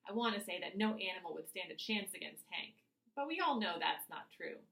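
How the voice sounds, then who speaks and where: A woman reading a children's novel aloud as its author, with expressive first-person narration. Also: lowish voice, brisk, creaky. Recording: echoey room.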